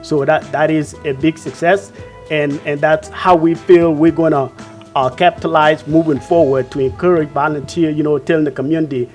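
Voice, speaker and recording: A man with a slightly high voice tells a community story in an interview. He repeats words now and then as he speaks. Faint music sits behind him. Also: steady tone, normal speed, a little mellow.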